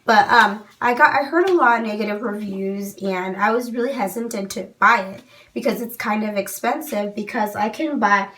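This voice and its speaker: A woman's voice: mid-range voice, normal speed, few pauses, pushed and bright, distant mic. A young woman with a cold and a fading voice, chatting casually through a makeup product review with many "um"s. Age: young adult. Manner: chatty, many "um"s, frank opinions. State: losing her voice from a cold. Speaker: beauty vlogger. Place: small indoor room.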